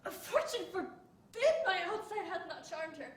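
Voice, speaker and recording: A woman's voice: very high voice, animated, normal speed, a bit bright. A teenage girl playing a bewildered young woman disguised as a man, musing aloud in a puzzled, wry way, recorded from afar in an echoey hall. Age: teens. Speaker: student in a monologue contest. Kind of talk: stage monologue recitation. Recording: echoey room, distant mic, faint hiss.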